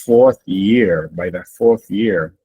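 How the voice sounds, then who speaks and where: A Honduran-born man in his sixties whose first language is Garifuna, telling his life story in an interview in a relaxed, rambling way with many fillers and restarts. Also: low-pitched, takes it slowly, slight background noise. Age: 60s. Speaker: guest.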